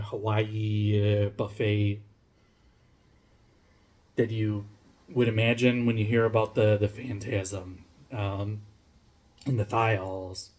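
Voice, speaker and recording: A man's voice: fairly low pitch, a little mellow. A man casually reviewing a beer on camera, rambling with lots of fillers, restarts and swearing, and poking fun at himself. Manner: rambling, self-mocking, profane, many fillers and restarts. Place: home room, lives in Denmark.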